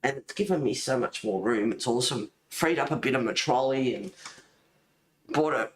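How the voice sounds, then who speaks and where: A man's voice: mid-range voice, normal speed. Aussie guy just rambling on a livestream, echoey room, bit of noise behind him. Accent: Australian. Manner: rambling, easily sidetracked. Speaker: host of a colouring livestream. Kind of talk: casual chat. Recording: roomy echo, faint noise.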